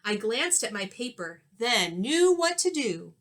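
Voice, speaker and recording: A woman reading a children's storybook aloud like a teacher, expressive and sing-song. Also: fairly high pitch. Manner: expressive, sing-song, pausing to ask listeners questions.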